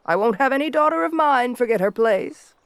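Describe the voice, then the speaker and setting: A woman's voice: fairly high pitch, animated, a little fast, clean tone, dry recording, pitched above the performer's own voice. A woman voicing a strict royal mother who lectures her daughter in a commanding manner, within an ASMR roleplay. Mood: stern, scolding. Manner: stern, commanding. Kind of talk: quoted character in ASMR roleplay.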